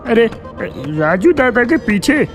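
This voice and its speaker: A performer playing a jumpy, teasing young male gang sidekick in an animated horror story. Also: medium pitch, takes it slowly, soft background music. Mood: jumpy, alarmed.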